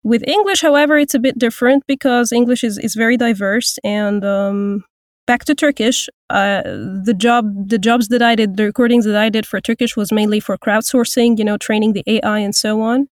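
A woman in her thirties from Egypt, a voice actor and language teacher, speaking thoughtfully with frequent pauses and restarts in an interview. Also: on the high side, steady tone, dry recording, studio quality. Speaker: guest, voice actor and Turkish teacher. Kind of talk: casual interview.

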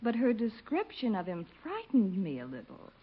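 A woman's voice: fairly high pitch, huge pitch swings, normal speed, husky, full character voice. An actress playing a flirtatious, gushing American woman in a 1950s radio sitcom, with theatrical coquettish delivery. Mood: coy, chatty. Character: flirtatious, gushing blonde woman visiting her sister. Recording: noisy, muffled 1950 radio recording.

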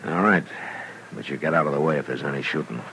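A stern, weary frontier lawman in a 1950s radio western. His voice is extremely deep and his delivery terse and commanding. A faint noise sits on the recording. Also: quick pace, pushed and bright, heavily acted, poor audio.

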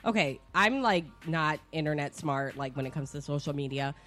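A woman's voice: low voice, expressive, normal speed, a bit bright, soft background music. A woman talking candidly in casual podcast chat.

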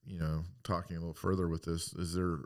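A man's voice: sits low, flat delivery, quick pace. A man talking casually as a panelist in a podcast group chat, putting questions to the others.

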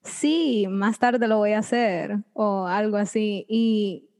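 A young woman telling funny anecdotes in a relaxed group chat, amused at herself. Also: mid-range voice, slow. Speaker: podcast guest, student.

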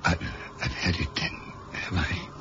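An actor playing a weary, dying agent in a 1970s radio drama, halting and breathy as he struggles for breath. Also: lightly acted. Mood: weak, fading. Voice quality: breathy, croaking. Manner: halting, laboured speech. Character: dying, weary male agent, weak and fading. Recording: dull, noisy old radio recording.

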